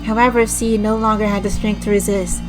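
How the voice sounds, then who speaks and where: A woman streamer reading a visual novel aloud with a slightly raspy voice, restarting over stumbled words, clearing her throat often and breaking off into disgusted asides to her chat. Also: medium pitch, little pitch movement, brisk, low-quality recording. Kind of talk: slow dramatic read-aloud with casual asides to chat. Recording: music playing clearly behind the voice.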